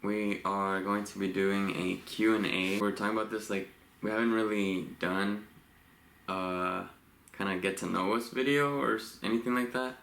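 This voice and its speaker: A man in his late twenties from Los Angeles, co-hosting a couple's Q&A vlog in a relaxed, rambling way with frequent laughs. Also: on the low side, normal speed, creaky. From Los Angeles, USA. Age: 20s. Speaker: vlogger co-host. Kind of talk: casual couple Q&A chat. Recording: echoey room with faint hiss.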